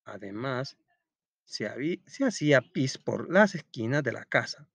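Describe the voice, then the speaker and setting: Male primary-school teacher, very lively intonation, didactic question-and-answer delivery, recorded dry and slightly dull. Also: fairly high pitch, creaky, dry recording. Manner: didactic, asks questions and answers them himself. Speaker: teacher presenting a school textbook lesson. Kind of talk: read-aloud storytelling and step-by-step lesson narration.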